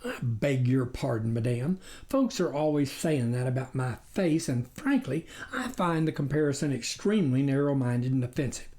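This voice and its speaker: A wily storybook coyote, acted out by a narrator, sweet-talks like an official with swooping, animated pitch. The voice yaps and bays, over-polite and easily offended, stretching toward a howl. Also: moderate pace, hardly any pauses, close to an everyday voice. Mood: wounded, indignant. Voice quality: baying, yapping, at times near howling. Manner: over-polite, wheedling, indignant, repeats words. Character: smooth-talking wily coyote posing as government poultry inspector.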